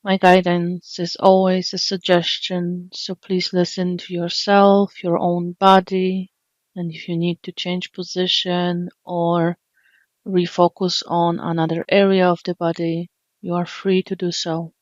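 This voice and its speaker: A woman guides a body scan meditation in a slow, gentle, soothing way, leaving long pauses between phrases. Her voice stays level with little rise and fall. The recording is dry and a little dull. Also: on the low side, flat delivery, dry recording. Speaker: meditation teacher.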